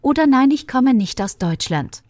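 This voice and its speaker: Clear woman reading a language lesson. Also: high voice, very animated, a little mellow, no room sound. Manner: measured, instructive. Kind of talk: clear scripted lesson delivery.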